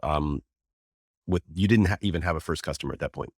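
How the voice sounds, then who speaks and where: An American man hosts an interview, friendly and enthusiastic, circling around his questions with many restarts. His voice sits very low. The recording is dry and close. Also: brisk, dry recording. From United States. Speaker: podcast host, interviewer. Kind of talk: casual conversational interview.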